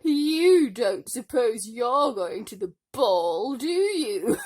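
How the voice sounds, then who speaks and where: A woman's voice: a little high, very animated, takes it slowly, darkish tone, voice pushed up, exaggerated voice. A woman voicing a proud, cruel stepmother in a storybook, haughty and disdainful, stretching out her phrases. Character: proud, snobbish, cruel middle-aged stepmother.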